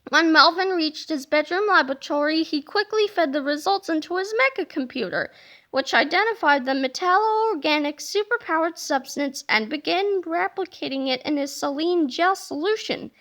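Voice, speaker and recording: A woman reading a children's book aloud as an expressive storyteller. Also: sits high, moderate pace.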